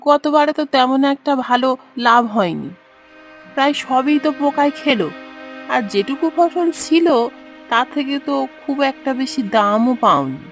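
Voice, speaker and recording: High-voiced cartoon farmer's wife. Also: female voice, very animated, moderate pace, soft background music. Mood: curious, concerned. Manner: questioning, homely. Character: village farmer's wife, inquisitive and homely. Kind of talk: cartoon voice acting.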